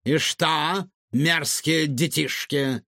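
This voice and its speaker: A man voicing a greedy, defiant female swindler who shrieks in a high voice, in a dry audiobook recording. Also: extremely slow, vocal fry, pushed and bright, no echo, full character voice. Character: greedy shrill female swindler, ex-fortune-teller.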